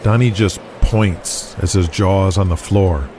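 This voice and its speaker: A man's voice: low-pitched, a little slow, a little mellow, a little hiss or hum. A man hosting and narrating an audio drama in a scripted, dramatic style. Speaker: narrator and host. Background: music, with guitar.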